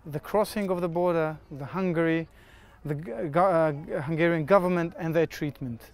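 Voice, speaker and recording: Aid worker guy, kinda high voice, blunt and explaining stuff, outside. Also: moderate pace. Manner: frank, explanatory, with restarts.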